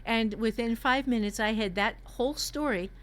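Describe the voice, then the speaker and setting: A woman's voice: on the high side, moderate pace, fairly bright tone. A woman hosting a writing workshop, chatting casually as she shares story ideas.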